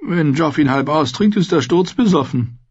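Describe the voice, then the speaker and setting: A man's voice: fairly high pitch, animated, moderate pace, breathy edge, no room sound, muffled recording, pitched above the performer's own voice. A man voicing a sardonic, bitter dwarf nobleman in a fantasy audiobook, wry and grumbling. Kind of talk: fantasy audiobook character acting.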